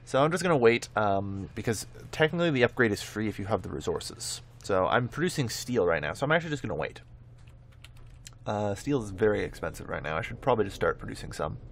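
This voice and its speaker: A man's voice: fairly low pitch, animated, quick pace, gravelly. A man giving casual gameplay commentary, thinking aloud in a rambling way and often correcting himself. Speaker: host of a gameplay video.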